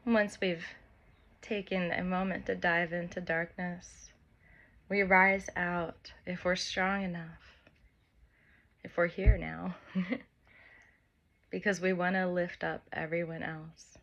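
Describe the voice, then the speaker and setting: Slow, even-toned woman guiding meditation. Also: fairly low pitch, highs cut off. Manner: unhurried, gentle phrasing with long pauses. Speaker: meditation guide. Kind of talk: guided meditation, soft spoken instruction. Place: by the open air with wind and birds.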